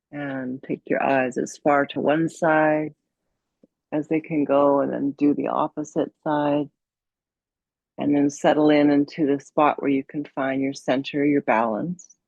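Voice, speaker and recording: A woman leads a guided meditation as a yoga coach, speaking very slowly with long pauses. Her voice has a gravelly fry and a soothing, gently instructive manner. The recording is close and dry. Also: sits low, no echo, muffled recording.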